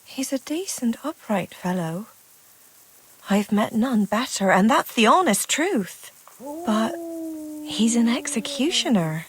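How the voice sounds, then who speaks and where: A woman's voice: fairly high pitch, very animated, takes it slowly, slightly rough, full character voice. A woman playing a stoic, world-weary widow in a video game cutscene, plain-spoken and resigned. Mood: torn, uncertain.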